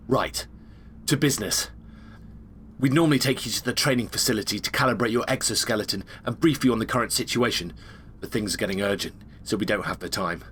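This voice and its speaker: Chummy male space agent, played by a male actor, enthusiastic game tutorial partner, average pitch, noticeable ambience. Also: fairly bright tone, slight background noise, lightly acted. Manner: informal, enthusiastic, instructive. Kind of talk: video game tutorial dialogue acting.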